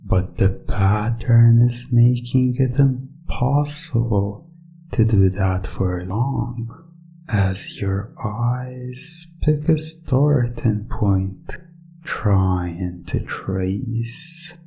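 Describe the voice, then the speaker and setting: A hypnotist speaking in an ASMR style, commanding and coaxing, with deliberate pauses between short phrases. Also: mid-range voice, creaky, mellow, soft background music.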